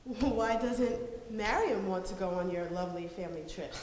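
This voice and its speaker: A woman's voice: medium pitch, normal speed, darkish tone, natural voice. A woman playing a concerned, persistent outsider who asks probing questions in a stage play. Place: indoor theatre stage, recorded at a distance. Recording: echoey hall, faint hiss.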